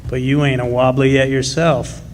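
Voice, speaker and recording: A man's voice: mid-range voice, flat delivery, moderate pace, natural voice. A man playing an earnest, plainspoken working-class miner and father in a live radio drama. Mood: steady, earnest. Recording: faint noise, live stage recording.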